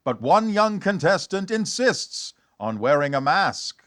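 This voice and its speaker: A man's voice: a little high, big pitch swings, a little slow, a bit bright. A man narrating dramatically in the scripted style of a 1940s radio serial, theatrical and suspenseful.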